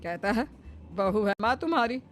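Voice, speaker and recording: A woman's voice: a little high, animated, moderate pace, a little hiss or hum, lightly acted. A woman voicing an ailing, possessive older mother in a cartoon story, complaining emphatically. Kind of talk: cartoon moral-story dialogue.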